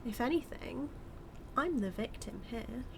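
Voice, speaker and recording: A woman's voice: on the high side, animated, gravelly, noisy background. A woman with a British accent acting as a smug female supervillain who mocks and teases her hero nemesis in a theatrical, drawling audio roleplay monologue. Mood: taunting, playful. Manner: mocking, theatrical, drawling with drawn-out words.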